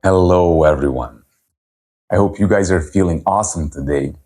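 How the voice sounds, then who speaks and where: A man in his thirties from Serbia, telling his personal story as a holistic health coach at a virtual event in an earnest, unhurried way. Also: low voice, darkish tone, faint music behind. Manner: earnest, unhurried, with frequent pauses and fillers.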